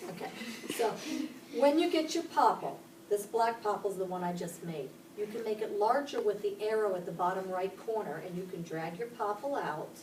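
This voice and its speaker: A woman's voice: medium pitch, lively intonation, normal speed, a little mellow, far from the mic, slight background noise. Woman teaching a class, echoey room. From Pittsburgh, Pennsylvania. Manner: explains step by step, asks the class questions. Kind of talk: classroom instruction. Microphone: camera across the room.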